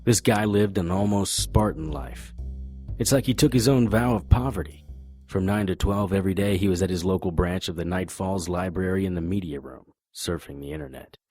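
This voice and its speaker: A man playing a wry, laconic private detective in a noir audio drama, with deadpan delivery. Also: sits low, normal speed, no echo, faint music behind. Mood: brooding narration.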